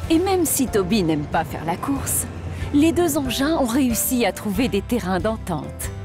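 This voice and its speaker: A woman narrating a dubbed children's cartoon in a steady storybook manner. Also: on the high side, measured pace. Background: music.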